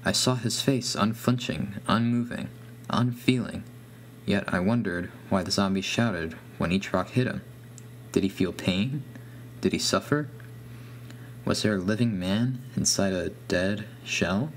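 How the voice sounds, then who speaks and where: A man's voice: on the low side, unhurried, a little hiss or hum. A man reading a scripted first-person horror story as its narrator.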